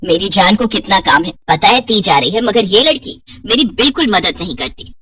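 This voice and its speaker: A woman's voice: medium pitch, animated, normal speed, pushed and bright, husky, far from the mic, full character voice. A woman voicing an exasperated middle-aged mother who grumbles and nags in a Hindi cartoon story. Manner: grumbling, complaining.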